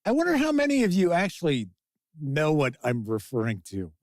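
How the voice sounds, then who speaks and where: A man's voice: a little high, wildly swooping pitch, a little fast, a little mellow, no room sound. A man with a Chicago accent hosting a casual livestream of tabletop gaming news, chatty and jokey as he talks with his chat. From Chicago, USA. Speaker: host.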